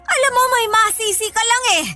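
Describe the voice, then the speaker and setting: A woman's voice: very high voice, steady tone, fairly quick, sharp tone, faint music behind. A woman with a Filipino accent playing a long-suffering, reproachful older mother in a radio drama. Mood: hurt, indignant. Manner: plaintive scolding.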